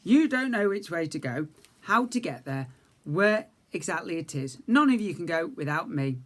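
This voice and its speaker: A man's voice: a little high, sing-song, moderate pace, fairly bright tone. Casting: earnest young hedgehog leader, read by a male teacher, polite and keen, storybook.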